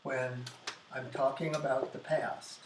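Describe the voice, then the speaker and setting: An American man teaching English grammar in a classroom. He explains slowly, repeats key phrases and restarts sentences midway. His medium-pitched voice rings out in an echoey room. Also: steady tone, background noise. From United States. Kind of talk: classroom grammar lesson at a whiteboard.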